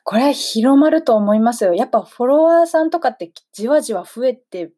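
Husky-voiced woman hosting a radio chat. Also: fairly high pitch, expressive, a little fast, warm tone. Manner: encouraging, polite, frequent backchannels. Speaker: radio interviewer, host. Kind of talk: casual radio interview.

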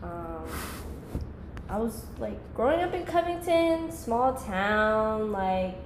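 A young woman in her twenties chats as a podcast guest, her voice sitting a little high. She rambles in a loose, laid-back way, filling gaps with "like". The room is echoey, with a faint hiss underneath. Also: sing-song, takes it slowly. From Georgia, US. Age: 20s. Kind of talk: casual podcast chat.

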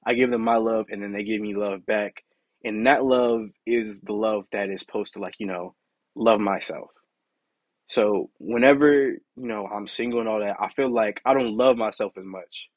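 Raspy young man, dry close sound. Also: fairly even intonation, normal speed, no room sound. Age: young adult. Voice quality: deep and raspy. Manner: candid, rambling, full of "you know" and "like". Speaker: podcast host. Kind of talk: casual solo podcast monologue.

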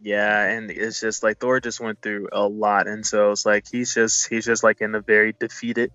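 A man with a lowish, very even voice chats casually about a film as co-host. He repeats himself and pads his sentences with filler. The recording is dry and a little dull. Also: moderate pace, a crowd in the background. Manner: repetitive, filler-heavy, says "you know" often. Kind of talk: casual movie review chat.

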